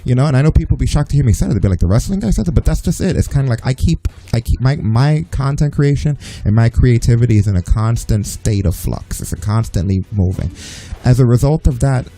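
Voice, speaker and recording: A man's voice: mid-range voice, lively intonation, fast, warm tone. A young man hosting a casual podcast, arguing his opinions emphatically, raising his voice to make a point. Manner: emphatic, opinionated, argues with rising voice. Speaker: podcast host and streamer. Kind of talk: heated casual podcast chat.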